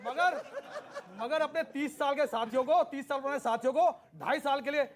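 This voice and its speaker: A man's voice: extremely high pitch, normal speed. A man on a heated TV debate panel, insistent and repeating his phrases to get a word in. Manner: insistent, repetitive.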